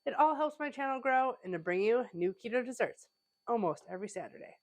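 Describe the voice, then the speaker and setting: A woman's voice: a little high, animated, brisk. A woman who is a pastry chef hosting a casual home-kitchen cooking tutorial, a little stuffy from a cold, chatting with plenty of "like" fillers. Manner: chatty, conversational, with frequent "like" fillers. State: stuffy, getting over a cold.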